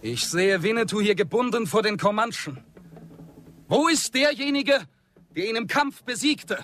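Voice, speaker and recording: An actor playing a proud, defiant young Comanche, the chief's child, making impassioned declamatory accusations in a radio drama. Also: male voice, high voice, lively intonation, normal speed. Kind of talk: German radio drama acting. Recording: studio radio drama over loud timpani music.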